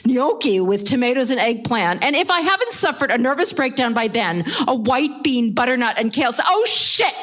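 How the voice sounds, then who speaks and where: An actress playing a frazzled, earnest and stubborn wife in a live radio play, with expressive, exasperated delivery. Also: female voice, on the high side, moderate pace, few pauses, soft background music. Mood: exasperated, panicked.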